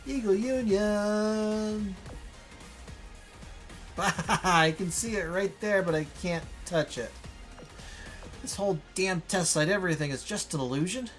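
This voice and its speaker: A man's voice: sits high, takes it slowly, pauses often, fairly bright tone, faint music behind, voice pushed up. A man voicing a cocky, sarcastic game character with an exclamatory, sardonic delivery. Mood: sarcastic, frustrated.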